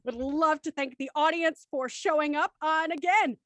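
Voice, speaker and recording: A woman's voice: high-pitched, moderate pace, fairly bright tone, no room sound. A woman hosting a livestreamed cast chat and signing off the show, chatty and laughing between lines. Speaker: host.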